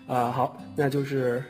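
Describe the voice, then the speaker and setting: A man's voice: mid-range voice, creaky, darkish tone. A man in a casual online cast chat, talking hesitantly and repeating his phrases. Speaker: voice actor at a cast chat, later reading questions. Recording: music behind the voice.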